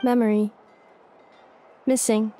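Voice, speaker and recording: Slow, halting female robot. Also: on the high side, little pitch movement, over music. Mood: flat, robotic. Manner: halting, clipped one- and two-word phrases. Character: newly woken female robot messenger, flat and literal. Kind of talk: video game voice acting.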